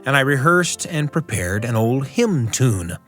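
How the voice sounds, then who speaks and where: A man's voice: mid-range voice, very animated, measured pace, a bit bright, soft background music. A man hosting a radio show, chatting and telling personal stories in a conversational way. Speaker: radio show host and storyteller. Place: radio studio.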